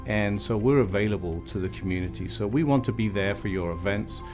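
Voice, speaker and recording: A middle-aged man from Wales with a slight Welsh accent, a fire chief speaking in a measured, reflective way in an interview. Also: fairly low pitch, music in the background. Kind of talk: interview talk.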